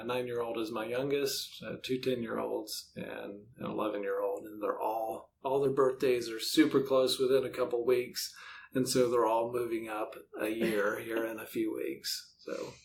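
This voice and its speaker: A man of middle age talks as a podcast guest in a casual, rambling way. His pitch is average, and he comes across as self-deprecating. There is faint low noise behind him. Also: flat delivery, a little slow, gravelly. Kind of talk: casual conversational interview answers.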